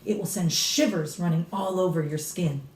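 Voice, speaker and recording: A woman's voice: fairly low pitch, moderate pace, darkish tone, lightly acted. A woman reading a storybook as a grave, deadly serious elderly grandmother who stretches key words, in a roomy, distant recording. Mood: grave, emphatic. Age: older. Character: grave elderly grandmother solemnly warning about witches. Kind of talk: dramatic children's storybook reading. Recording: roomy echo, distant mic.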